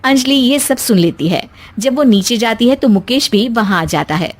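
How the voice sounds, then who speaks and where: A woman's voice: fairly high pitch, lively intonation, moderate pace. A woman storyteller reading scripted narration for a moral story.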